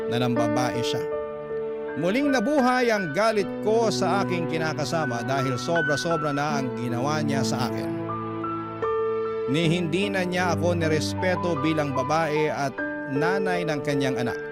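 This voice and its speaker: A woman's voice: low-pitched, lightly acted. A woman playing a hurt, wronged young Filipina partner, narrating her troubles in the first person as in a dramatic letter.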